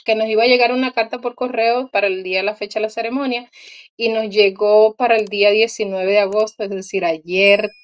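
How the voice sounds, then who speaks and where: A woman's voice: mid-range voice, fairly even intonation, brisk, dull audio. A woman in her thirties from Cuba, a guest on a video call who tells her story cheerfully, laughing often and trailing off with fillers. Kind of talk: casual chat, telling her experience. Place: small indoor room. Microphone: video call, laptop or phone camera.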